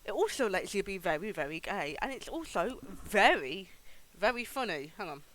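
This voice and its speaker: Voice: mid-range voice, big pitch swings, normal speed, a bit bright, rough recording. A game streamer chatting casually and informally to viewers, with a sweary, chatty manner. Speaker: live streamer hosting a game playthrough. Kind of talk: casual gaming stream chat with some reading aloud of game text. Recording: music behind the voice. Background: music.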